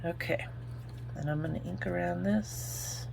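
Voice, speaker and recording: A woman's voice: on the low side, unhurried, creaky, background noise. A woman with an Oklahoma accent, chatting her way through a crafting tutorial and thinking aloud as she works. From Oklahoma, United States. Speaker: craft video host.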